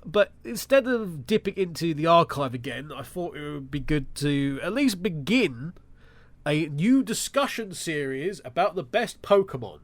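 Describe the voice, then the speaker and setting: A man's voice: a little high, no room sound. A man hosting a solo podcast, sounding nasal and congested from a cold, talking with sarcastic, wry asides. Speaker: podcast host.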